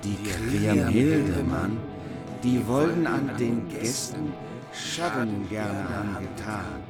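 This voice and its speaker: A man's voice: on the low side, expressive, slow. A man reciting medieval verse as a bard in a radio play. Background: prominent music.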